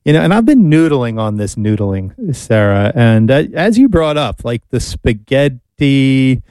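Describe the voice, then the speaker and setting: A middle-aged man with an American accent co-hosting a talk show, reminiscing casually in a halting, reflective way. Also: mid-range voice, very animated, mellow, no echo.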